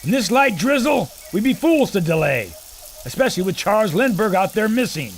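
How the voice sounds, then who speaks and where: An actor playing a cocky adventurer filmmaker and pilot in a pulp audio drama, theatrical, with old-time slang. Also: male voice, high voice, sing-song, fairly quick, a little hiss or hum. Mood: breezy, confident. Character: brash adventurer filmmaker and war veteran pilot.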